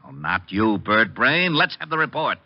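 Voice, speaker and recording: An actor playing an exasperated, easily riled police lieutenant in a vintage radio drama, brusque and barking. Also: male voice, fairly low pitch, big pitch swings, normal speed. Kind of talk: 1940s-50s radio detective drama acting. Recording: dull vintage radio recording.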